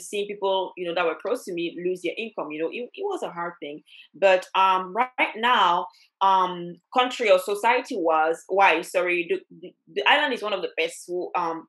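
A woman's voice: lowish voice, a bit bright, rough recording. A woman in her thirties from Cameroon, a travel blogger and doctor, talking animatedly as a guest over a headset on a video call, with many fillers and laughs. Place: home room, indoors.

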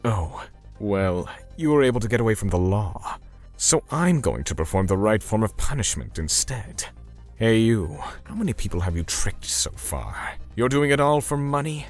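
A low-voiced man acting as a grim torturer narrates a manga drama. He is solemn and menacing, with lively swings in his delivery, while music plays faintly behind him. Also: normal speed, close to an everyday voice. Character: grim, self-righteous male torturer seeking vengeance for victims.

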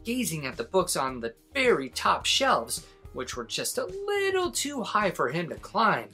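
A man in his forties reading a children's story aloud as an expressive narrator. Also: sits high, measured pace, faint music behind. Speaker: storybook reader, host.

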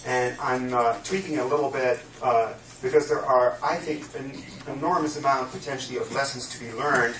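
A man's voice: mid-range voice, a bit bright. A man in his fifties with a Dutch accent gives an earnest talk to a small audience, explaining things carefully with many fillers. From Netherlands. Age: 50s. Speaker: guest speaker. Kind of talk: public lecture. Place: small dim room with a projection screen, live audience. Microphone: distant camera mic. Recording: roomy, distant camera mic, faint room noise.

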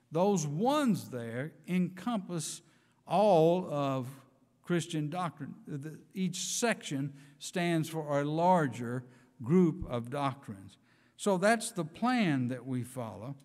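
A man's voice: a little high, big pitch swings, slow pace, a little mellow. Older man preaching in a reverberant church. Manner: earnest, emphatic preaching with scripture readings. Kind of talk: sermon. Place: church sanctuary, speaking from a pulpit. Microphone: pulpit microphone.

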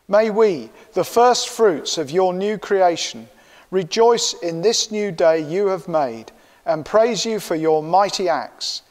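Older male minister, slow and solemn liturgy in a reverberant church. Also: high voice, lively intonation, darkish tone. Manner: measured, solemn recitation. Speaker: minister leading the service. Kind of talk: liturgical prayers and confession. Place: church sanctuary, indoors.

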